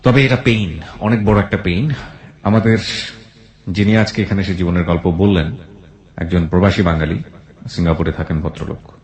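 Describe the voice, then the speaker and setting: A man's voice: low voice, steady tone, normal speed, long pauses, a little mellow. A Bangladeshi man hosting a radio show, talking in a reflective, philosophical way to a guest and listeners. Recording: roomy echo on the voice.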